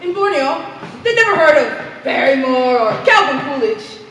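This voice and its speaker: A woman's voice: sits high, big pitch swings, moderate pace, fairly bright tone, exaggerated voice. A woman acting on stage as a blunt, well-traveled lady explorer who tells quirky tales in a projected voice. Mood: deadpan. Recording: echoey theater hall, far mic, faint noise.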